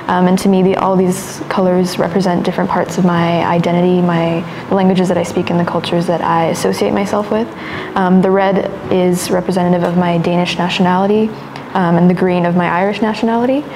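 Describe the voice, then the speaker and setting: Female student, late teens; lowish, level voice, measured and reflective, noticeable classroom ambience. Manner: thoughtful, measured, many "um" pauses. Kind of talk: describing her artwork while making it. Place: classroom indoors at a work table. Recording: room ambience noise.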